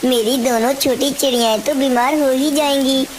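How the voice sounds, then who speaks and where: A woman voicing a caring, hardworking mother bird in a cartoon story, with exclamatory, expressive delivery. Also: high voice, moderate pace, close to an everyday voice. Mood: worried. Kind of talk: Hindi cartoon story dialogue. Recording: hissy, clearly noisy background.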